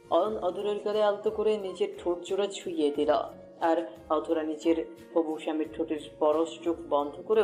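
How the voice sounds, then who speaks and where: Low-voiced woman narrating a romance. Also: darkish tone. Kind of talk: scripted romantic story narration. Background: music.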